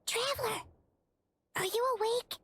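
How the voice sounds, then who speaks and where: Very high chirpy little fairy girl. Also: measured pace, a bit bright, slight background noise. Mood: chirpy, anxious. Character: small excitable floating fairy companion, high childlike chirpy girl. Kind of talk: video game voice acting.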